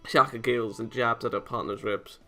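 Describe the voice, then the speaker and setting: A man's voice: medium pitch, fairly even intonation, brisk, sharp tone. A man casually reading a visual novel aloud, dropping wry interjections between the lines. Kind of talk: casual read-aloud commentary. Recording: music behind the voice. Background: game music.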